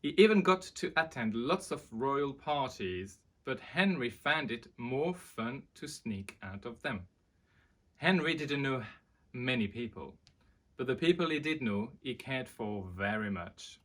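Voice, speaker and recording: Slow, animated male storyteller. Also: medium pitch. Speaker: storyteller presenting a children's book. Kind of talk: expressive storybook reading for children.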